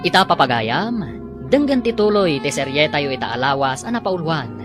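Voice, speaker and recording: A man's voice: fairly high pitch, sing-song, moderate pace, music in the background. A man from the Philippines announcing a radio drama episode in a formal, scripted way.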